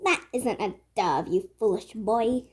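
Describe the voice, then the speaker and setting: A woman's voice: medium pitch, a little slow, a little mellow, slight background noise, pitched below the performer's own voice, natural voice. A woman voicing a cold, scolding, selfish stepmother in a fairy tale, bossy and scornful.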